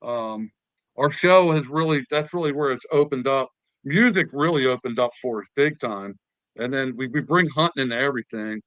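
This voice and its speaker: A man in his fifties hosts a podcast and tells stories at length, often repeating himself as he gets going. His voice is bright and smooth, with a slightly high pitch and a dry close sound. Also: moderate pace, no room sound, low-quality recording. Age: 50s. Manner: talkative storyteller, repeats words. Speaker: podcast host. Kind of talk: casual podcast chat.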